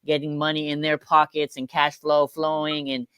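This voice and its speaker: Male, slightly high and clear, casual explainer, loose chained sentences. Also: monotone, moderate pace, a bit bright, no room sound.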